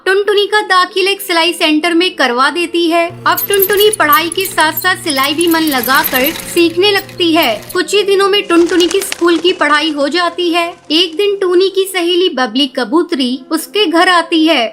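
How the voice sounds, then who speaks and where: A woman's voice: very high-pitched, normal speed, few pauses, a bit bright. A woman reading scripted narration for a children's cartoon story.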